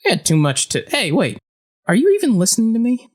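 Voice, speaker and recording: A man's voice: very high-pitched, wildly swooping pitch, brisk, heavily acted. A man playing a talkative, theatrical fight-ring boss with a morbid streak in an audio drama. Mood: breezy, morbid.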